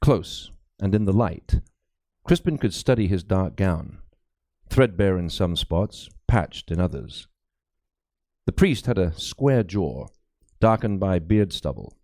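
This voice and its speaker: A man's voice: sits low, animated, takes it slowly, dark tone, no room sound, studio quality. A man reading a mystery novel aloud as a dramatic, scripted narration. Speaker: audiobook narrator, actor.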